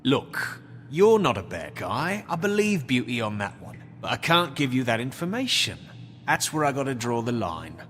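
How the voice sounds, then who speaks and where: An actor playing a cocky, evasive street thug in a video game, flippant, slangy and defensive under questioning. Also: male voice, medium pitch, sing-song, normal speed, fairly bright tone, big-room sound, faint music behind. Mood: evasive, cheeky.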